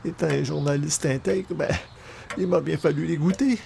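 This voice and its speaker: A man playing a hungover, irritable newspaper columnist in a radio comedy, pained and halting. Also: fairly high pitch, steady tone, gravelly, slight background noise. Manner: pained, halting, pleading for quiet. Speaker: actor in an audio comedy. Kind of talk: comedy in the manner of old radio shows.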